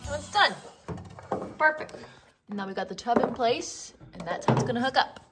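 A woman's voice: fairly high pitch, animated, normal speed, over loud music. A woman casually narrating a home renovation project in an unfinished basement, upbeat and teasing. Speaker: co-host of a home renovation channel.

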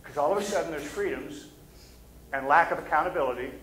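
A man's voice: fairly high pitch, quick pace, fairly bright tone, slight background noise. A middle-aged man with a Midwestern American accent, preaching a casual, conversational sermon from a pulpit and asking the room for agreement. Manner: casual, plain-spoken, asks the room for agreement. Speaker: pastor. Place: church stage, behind a pulpit. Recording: echoey church sanctuary.

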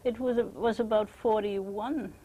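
An older woman from Belgium giving an oral history testimony, speaking haltingly with frequent restarts. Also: mid-range voice, fairly even intonation, dark tone, rough recording. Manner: hesitant, halting, many restarts.

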